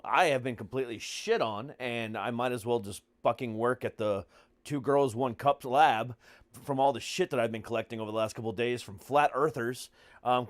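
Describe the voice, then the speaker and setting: A man's voice: mid-range voice, no echo, clean studio sound. A middle-aged American man hosting a podcast in casual, profane talk, with a brash, mock-grandiose showman's manner. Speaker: podcast host.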